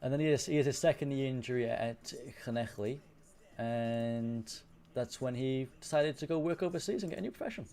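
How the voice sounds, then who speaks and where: Young male streamer, Welsh accent worn English, warm low-key tone, loose rambling chat. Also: brisk. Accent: Welsh with English influence. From Wales. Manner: rambling, swears casually, answers chat. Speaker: live streamer. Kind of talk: casual live-stream chat.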